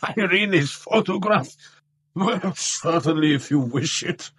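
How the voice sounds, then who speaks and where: A man's voice: on the high side, expressive, takes it slowly, fairly bright tone, husky, full character voice. An actor playing a haughty, pompous king in a radio drama, speaking in a grandiose, condescending, exclamatory manner. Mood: generous, surprised.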